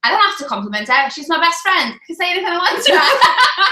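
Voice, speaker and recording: A young woman co-hosting a live stream, talking loudly and energetically and laughing often. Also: extremely high pitch, big pitch swings, fast talker, reverberant. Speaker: co-host of a live business coaching stream. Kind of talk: casual live-stream chat.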